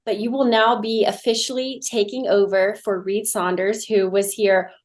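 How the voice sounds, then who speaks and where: An American woman hosts a sports team interview in a mid-pitched voice. Her delivery is upbeat and promotional, setting up questions for a guest in a conversational way. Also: flat delivery, moderate pace.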